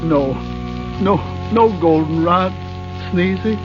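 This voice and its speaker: An actor playing an earnest, elderly dwarf leader with a halting, stammering delivery, in 1930s radio style. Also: male voice, high-pitched, over music. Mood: sorrowful. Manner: halting, stammering, repeats words. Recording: muffled vintage 1930s radio recording.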